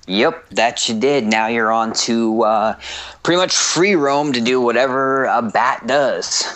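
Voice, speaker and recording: A man's voice: medium pitch, unhurried, a bit bright. A man chatting casually as a radio show commentator, slangy and given to jokey exaggeration. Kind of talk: casual chat.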